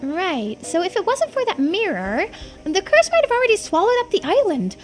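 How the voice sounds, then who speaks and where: A woman voicing an excitable, inquisitive young islander in video game dialogue, eager and full of questions. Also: extremely high pitch, normal speed, soft background music. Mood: puzzled.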